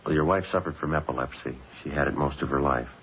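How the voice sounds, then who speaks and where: Terse police detective: very low American voice, rapid clipped delivery, businesslike and polite, vintage radio crime drama. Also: male voice, narrow, tinny audio. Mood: gently matter-of-fact. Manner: clipped, matter-of-fact, deadpan. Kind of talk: 1950s radio drama acting, understated. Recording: old band-limited radio broadcast, hissy.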